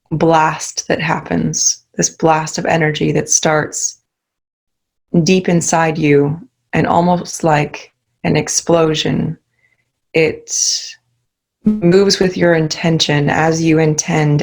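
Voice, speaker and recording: A woman guides a meditation in a low-pitched voice with a noticeable creak. She speaks slowly and calmly, leaving soothing pauses between short instructions.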